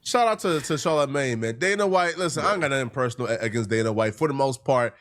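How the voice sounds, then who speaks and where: A man's voice: medium pitch, animated, fast talker, fairly bright tone. American male reaction host, mid-range voice, casual slang, talks directly to viewers.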